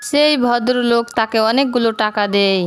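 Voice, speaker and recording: A woman's voice: on the high side, a little fast, faint music behind. A woman narrating a scripted cartoon moral story.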